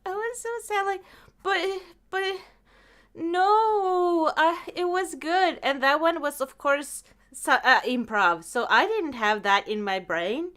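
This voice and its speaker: A woman's voice: very high voice, slow. A woman chatting casually as a podcast host, acting out her own reactions as she tells stories. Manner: animated storyteller who acts out own reactions. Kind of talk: casual chat.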